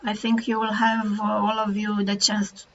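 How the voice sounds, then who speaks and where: A woman's voice: medium pitch, completely flat delivery, normal speed, fairly bright tone. A woman moderating an online webinar in a hesitant way, with many fillers. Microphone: video call. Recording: dull video call audio with faint noise.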